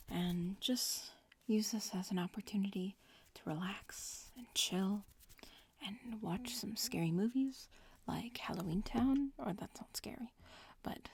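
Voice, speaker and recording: Slow, breathy whispering young woman, ASMR. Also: medium pitch. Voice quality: breathy, soft whisper with some fry. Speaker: ASMR video creator. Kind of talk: ASMR, casual whispered chat.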